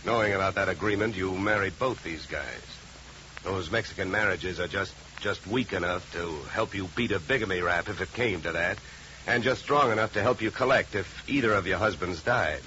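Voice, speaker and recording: An actor playing a shrewd, wisecracking private detective in clipped, sardonic 1950s radio style. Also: male voice, sits low, moderate pace, sharp tone. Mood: shrewd, confident. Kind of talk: 1950s hardboiled radio acting. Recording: dull, hissy 1950 radio recording.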